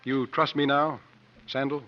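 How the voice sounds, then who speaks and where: A man's voice: mid-range voice, flat delivery, measured pace, close to an everyday voice. An actor playing a confident, articulate travelling strongman and sleuth in a 1950s radio drama, with formal theatrical phrasing. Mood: assured, probing. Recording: muffled vintage 1950s radio recording.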